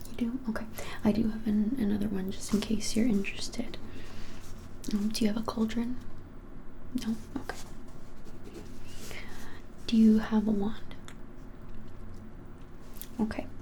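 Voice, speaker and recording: Whispering young woman, soft and mellow. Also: mid-range voice, a little hiss or hum. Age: 20s. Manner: soft whispering, hesitant and unhurried. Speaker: ASMR creator playing a witch shop keeper. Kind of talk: ASMR roleplay, whispered. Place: small plain-walled room, indoors.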